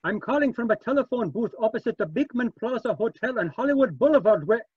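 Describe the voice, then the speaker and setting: A man's voice: extremely high pitch, expressive, quick pace, husky, no room sound, muffled recording, heavily acted. A man playing a frantic Scotsman with a Scottish accent, urgently pleading for help in an old-time radio detective drama. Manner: urgent, agitated.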